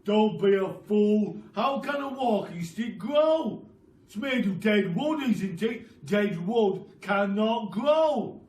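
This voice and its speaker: Mean storybook husband, played by a man reader: loud, slow, hammering taunts, recorded from a distance. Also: high voice, lively intonation, voice pushed up. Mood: scornful. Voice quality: loud, forceful. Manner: slow, hammering, chanting emphasis. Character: mean, bullying husband, gleefully taunting his wife. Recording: roomy, distant, noisy home-style recording.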